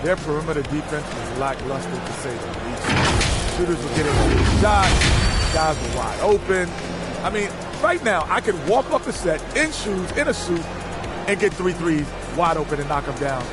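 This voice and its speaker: A man's voice: fairly high pitch, expressive, normal speed, over loud music, lightly acted. A man speaking as a blunt halftime studio analyst in a basketball game show. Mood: blunt, mocking.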